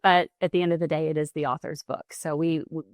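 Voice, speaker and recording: A woman who runs a publishing company, talking as a podcast guest in a quick, animated way with frequent restarts. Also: deep voice, no echo, clean studio sound. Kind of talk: casual podcast conversation.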